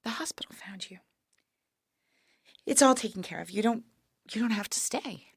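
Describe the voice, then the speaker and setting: An actress in an audio play playing a dying younger sister, weary, wry and resigned, with a frail, halting, breathy delivery that drops to whispers. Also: female voice, fairly high pitch, no room sound, studio quality. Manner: frail, halting, wryly understated. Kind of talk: intimate audio play acting.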